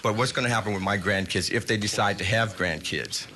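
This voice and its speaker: Give me an earnest middle-aged man testifying at a hearing, with a low, bright voice. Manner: indignant, earnest, halting with fillers. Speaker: citizen giving public testimony.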